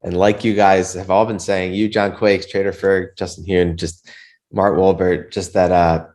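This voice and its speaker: Male interview host, deep voice, informal and conversational. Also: little pitch movement, unhurried, a little mellow. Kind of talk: casual interview chat.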